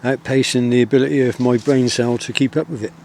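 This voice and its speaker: Soft-spoken Wiltshire countryman outdoors. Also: mid-range voice, steady tone, vocal fry, a little hiss or hum. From England. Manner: soft-spoken, rambling, with many pauses and fillers. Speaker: outdoor vlogger. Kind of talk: reflective outdoor vlog.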